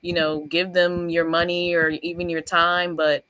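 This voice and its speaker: A woman teacher appearing as a guest, speaking in a warm, encouraging way about faith. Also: lowish voice, little pitch movement, measured pace, slight background noise, rough recording. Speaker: guest, first-grade teacher. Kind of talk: casual chat.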